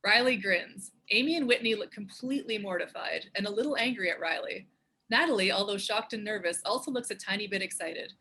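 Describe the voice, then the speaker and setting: A woman with a husky voice reading scripted stage directions as the narrator of a table read. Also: medium pitch, a little fast, sharp tone, a little hiss or hum. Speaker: narrator and show co-creator.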